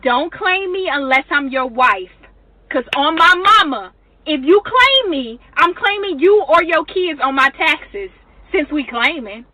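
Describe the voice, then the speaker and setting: American woman, high forceful voice, sassy and blunt, emphatic monologue, dry close sound. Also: expressive, measured pace, no room sound, rough recording.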